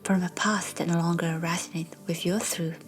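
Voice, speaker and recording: A woman narrating a guided meditation in a soft, breathy, soothing way, as a meditation guide. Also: on the low side, steady tone, normal speed. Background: soft background music.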